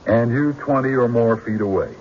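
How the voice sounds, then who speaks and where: An actor playing a shrewd country defense lawyer in a radio drama, with a husky voice and deliberate, theatrical courtroom rhetoric. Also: male voice, mid-range voice, darkish tone, slight background noise, natural voice. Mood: courteous, probing. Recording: dull lo-fi old radio recording.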